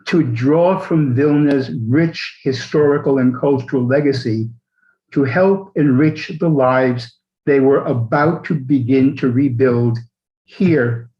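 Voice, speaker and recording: Older guy giving a measured memorial speech, muffled lo-fi audio, video call. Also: mid-range voice. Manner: measured, switches between Yiddish and English. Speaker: event chair.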